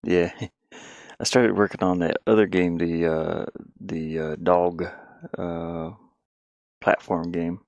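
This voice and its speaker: A man thinking out loud in casual livestream talk, muttering to himself as he works on a game. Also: low-pitched, little pitch movement, slow pace, gravelly, long pauses, no room sound, highs cut off. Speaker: indie game developer streaming.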